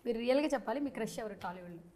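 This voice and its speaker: Quick, high-voiced Telugu Indian woman host. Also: expressive, warm tone. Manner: teasing, insistent. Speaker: interviewer hosting a film team chat. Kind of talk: casual playful celebrity chat with rapid-fire questions.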